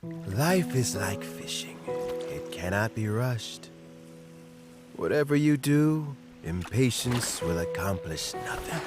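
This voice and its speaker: An actor playing a patient, fatherly old man who gives calm advice slowly. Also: lowish voice. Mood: calm, wise. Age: older. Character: gentle elderly man, patient mentor. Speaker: game character. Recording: game audio with music behind.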